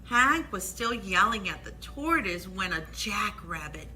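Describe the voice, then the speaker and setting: A woman reads a picture book aloud for children in a very bright, crisp-edged voice. Her pitch is lively and she takes her time, pausing for little asides on the pictures. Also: soft background music. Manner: expressive, pauses to point out pictures. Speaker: library storytime reader.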